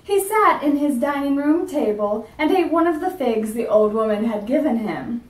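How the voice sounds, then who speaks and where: A woman's voice: high-pitched, expressive, moderate pace. Woman teacher reading a storybook aloud. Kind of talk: reading a picture book aloud. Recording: roomy sound from a distance.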